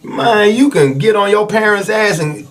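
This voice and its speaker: A man's voice: high voice, animated, normal speed. A man giving emphatic, slangy opinions in a casual podcast conversation. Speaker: podcast speaker.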